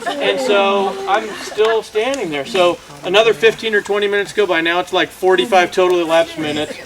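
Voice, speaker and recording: A man's voice: sits high, fairly even intonation, moderate pace, poor audio. A man telling a comic story to a live audience, exaggerating and acting out the dialogue as he goes. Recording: loud audience laughter behind. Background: laughing crowd.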